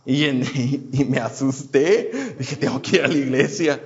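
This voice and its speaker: A man's voice: medium pitch, unhurried, muffled recording. A man in his thirties from Houston, telling his personal faith testimony in a casual, hesitant way with many fillers and restarts. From Houston, United States. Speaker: churchgoer giving a testimony.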